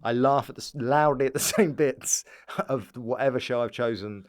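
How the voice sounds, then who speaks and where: Comedian guy as a podcast guest, smooth clear voice, rambly jokey chat, medium pitch. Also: normal speed. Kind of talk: casual comic chat.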